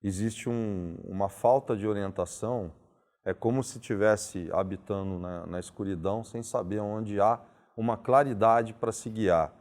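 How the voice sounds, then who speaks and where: A man hosting an interview, asking thoughtful, philosophical questions in a calm conversational way. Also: on the low side, unhurried.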